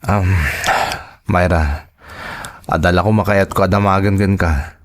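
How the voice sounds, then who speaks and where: A man's voice: low voice, fairly even intonation, a little slow. A man playing an anxious, apologetic husband and migrant worker in a radio drama, with an Ilocano accent and earnest, emotive delivery. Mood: downcast, earnest. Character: Robert, an anxious husband working overseas, apologetic.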